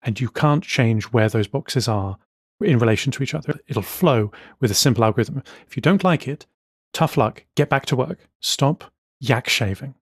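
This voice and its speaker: A man co-hosting a casual podcast, talking with enthusiasm and quick asides. His voice sits at a middling pitch and is recorded very dry, with no room sound. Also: animated, slightly rough, dry recording. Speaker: podcast co-host. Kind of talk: casual chat.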